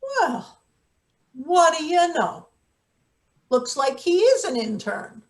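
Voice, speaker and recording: An actress plays a bossy senior maintenance worker in a satirical stage drama. Her voice sits a bit high, and her delivery is dry and sardonic. She comes across as a wry schemer who takes charge. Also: very animated, slow pace, heavily acted. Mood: smug, sardonic. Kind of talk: live theatre table read.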